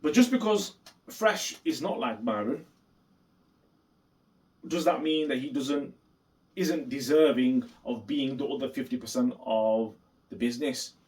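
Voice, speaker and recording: A man's voice: mid-range voice, a little mellow. Distant-sounding man giving emphatic commentary. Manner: emphatic, deliberate, repeats points for stress. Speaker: reaction video host. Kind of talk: opinion commentary reacting to a clip. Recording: voice distant from the mic.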